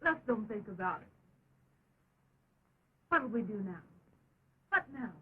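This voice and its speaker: An actress playing an anxious, devoted young sweetheart, earnest and fretful, in early talkie melodrama style. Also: female voice, medium pitch, expressive, takes it slowly, husky, exaggerated voice. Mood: anxious relief. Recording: tinny, band-limited 1920s film soundtrack.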